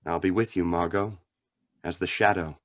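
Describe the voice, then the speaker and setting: A man playing a grave, philosophical crime fighter with a measured, earnest manner in a radio drama. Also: sits low, steady tone, lightly acted. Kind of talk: old-time radio drama acting.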